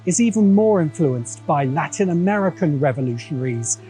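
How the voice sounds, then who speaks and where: A man's voice: fairly high pitch, animated, moderate pace, mellow, soft background music, poor audio. An English man delivering scripted video essay narration in a didactic, wry way. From England.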